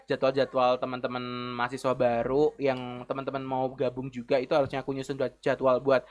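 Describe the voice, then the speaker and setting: A man's voice: mid-range voice, completely flat delivery, a little fast. A young man, a campus radio announcer chatting playfully as a guest. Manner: chatty, playful, acts out listener quotes. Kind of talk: casual chat.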